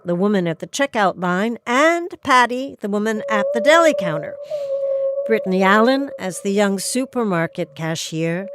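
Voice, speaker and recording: A woman's voice: mid-range voice, a little hiss or hum. A woman hosting, reading scripted credits and introducing an interview. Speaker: host.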